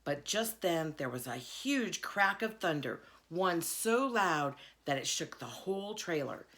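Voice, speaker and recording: A woman reading a children's novel aloud in an expressive storytelling manner. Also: fairly low pitch, a little slow. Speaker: reader, school board member.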